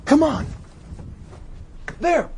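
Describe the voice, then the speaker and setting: An actor playing an impulsive, hot-headed young man in a radio drama, blunt and excitable. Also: very slow, a little hiss or hum. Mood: puzzled.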